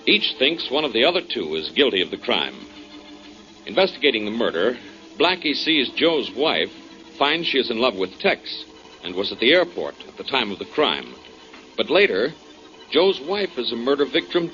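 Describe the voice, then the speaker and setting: A man's voice: medium pitch, very animated, moderate pace, bright, forceful tone, faint music behind. A man announcing and narrating a 1940s radio drama in a scripted, dramatic style. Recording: dull 1940s radio recording.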